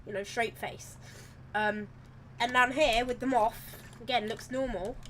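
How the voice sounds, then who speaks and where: A woman with a slightly croaky voice, rambling chattily through live gameplay commentary and correcting herself as she goes. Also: fairly high pitch, fairly even intonation, measured pace, a bit bright, a little hiss or hum. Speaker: game streamer.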